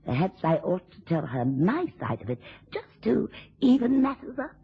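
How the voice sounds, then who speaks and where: Husky, drunken, spiteful wife. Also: female voice, on the low side, sing-song, moderate pace, exaggerated voice. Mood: bitter, taunting. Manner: theatrical mocking drawl, sarcastic endearments. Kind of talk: radio drama acting. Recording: dull, lo-fi old radio recording.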